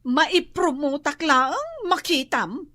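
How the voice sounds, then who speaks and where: A woman's voice: high voice, slow, bright, forceful tone, no echo, clean studio sound, heavily acted. An actress playing a vain, chatty Ilocano woman who giggles and boasts in a radio comedy. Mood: pleading. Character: vain chatty woman, office worker and wife. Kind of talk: radio comedy drama acting.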